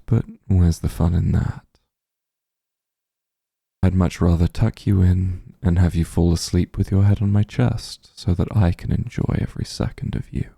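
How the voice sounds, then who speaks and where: A man plays a softly dominant demon lord in a comforting ASMR roleplay. His voice is low and extremely dark, with heavy husky breathiness. He coaxes and dotes possessively, tender and unhurried, right up at the mic. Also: no echo, studio quality, full character voice. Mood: teasing, doting. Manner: tender, coaxing, teasing, hushed. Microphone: close microphone, dry.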